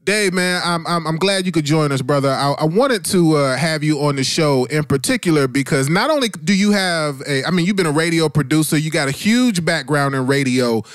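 A man's voice: a little high, lively intonation, brisk, hardly any pauses, dry recording, studio quality. A man hosting a radio show, interviewing in an informal, slangy way with chummy address. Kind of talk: casual conversational interview.

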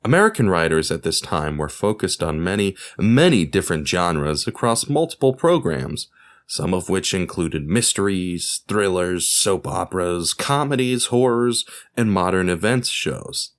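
A man's voice: sits low, sing-song, measured pace. A man presenting a podcast as its host, reading scripted narration in an explanatory way. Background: music.

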